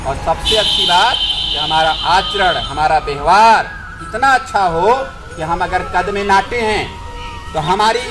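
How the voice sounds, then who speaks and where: A man's voice: sits high, unhurried, low-quality recording. A man teaching schoolchildren through a moral story, explaining simply and checking in with questions. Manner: simple explanatory phrasing, prompting listeners with questions. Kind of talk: animated storytelling to a class, with questions to listeners. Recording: music laid under the voice. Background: music.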